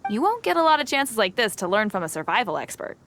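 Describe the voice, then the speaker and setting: A woman's voice: fairly high pitch, big pitch swings, fast, fairly bright tone. A woman playing a confident, friendly young female wilderness survival expert in a video game, speaking in a self-assured, instructive way. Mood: confident, welcoming. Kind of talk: English video game character acting.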